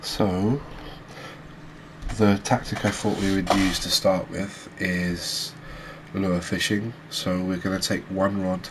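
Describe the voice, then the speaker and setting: Soft-spoken guy doing asmr game commentary, really low voice, pretty flat, noticeable background noise. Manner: soft-spoken, unhurried, relaxed. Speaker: gaming video creator. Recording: clear background noise, ambience.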